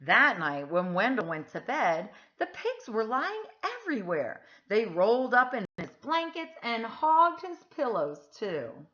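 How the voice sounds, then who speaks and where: A woman reading a picture book aloud to children in an expressive, emphatic storytelling style. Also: a little high, dull audio. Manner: animated, deliberate, emphatic phrasing.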